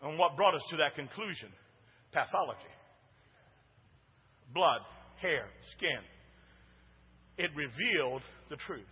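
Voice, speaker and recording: Booming preacher in a reverberant hall. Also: male voice, expressive, slow, long pauses, husky, highs cut off. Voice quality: big booming voice. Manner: preaching, swings from hushed to shouting, calls for responses from the congregation. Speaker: pastor. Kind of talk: sermon. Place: church sanctuary.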